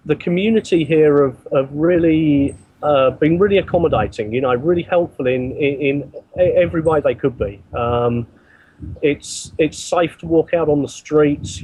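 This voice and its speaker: Halting, self-repeating man. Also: fairly high pitch, a little mellow, breathy edge, no echo, low-quality recording. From not from the country he works in. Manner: halting, repeats words often. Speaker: interview guest, mission builder. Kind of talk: remote interview answers.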